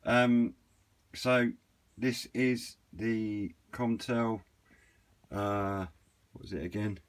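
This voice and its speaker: Low-voiced British man, casual presenter. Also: steady tone, very slow. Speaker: YouTube presenter of radio equipment videos. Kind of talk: casual unscripted product walkthrough.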